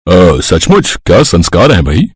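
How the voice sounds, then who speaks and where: An actor playing a mild, admiring man of the family in a story, husky and theatrical. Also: low-pitched, huge pitch swings, normal speed, no room sound, clean studio sound, heavily acted. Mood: approving.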